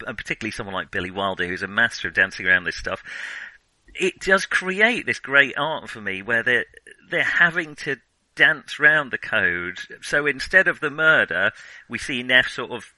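A man's voice: medium pitch, very animated, moderate pace, pushed and bright, no room sound, low-quality recording. A man co-hosting a podcast, talking casually about a film in a rambling way, with lots of restarts and fillers. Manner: rambling, many restarts and ums. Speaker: podcast co-host.

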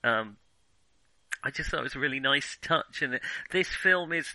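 A man's voice: mid-range voice, expressive, moderate pace, sharp tone, no echo. A man talking casually about film as a podcast host, hesitant and often rephrasing his thoughts as he goes.

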